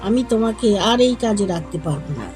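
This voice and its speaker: A woman's voice: medium pitch, animated, fairly quick, a little mellow, lightly acted. A woman voicing plain-spoken, matter-of-fact women in a village cartoon over music. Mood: firm, matter-of-fact. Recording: music bed under the voice.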